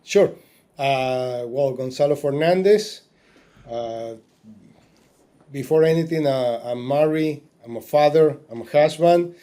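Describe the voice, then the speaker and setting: A man's voice: mid-range voice, slow, warm tone. A man, a guest telling his life story with many fillers and restarts. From Argentina. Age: 40s. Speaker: podcast guest. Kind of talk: casual interview talk.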